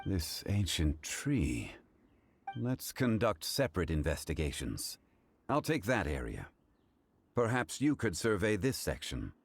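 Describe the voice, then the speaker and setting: A man voicing a composed, dignified, commanding scholar in a video game, formal and deliberate. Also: deep voice, huge pitch swings, slight background noise, heavily acted. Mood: contemplative. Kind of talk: video game character acting. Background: game music.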